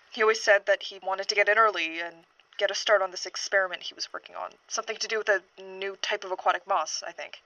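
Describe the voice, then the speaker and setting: A woman playing a troubled university scientist giving an earnest, halting witness statement in a horror audio drama. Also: mid-range voice, a bit bright, natural voice, poor audio. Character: troubled female university biologist reporting a missing colleague.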